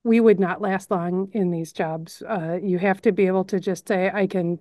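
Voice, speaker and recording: A woman's voice: fairly low pitch, fairly even intonation, normal speed, dark tone, dry recording. Chicago-accented woman, dry close sound. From Chicago suburbs. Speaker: news editor and lead narrator. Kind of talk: casual on-camera chat.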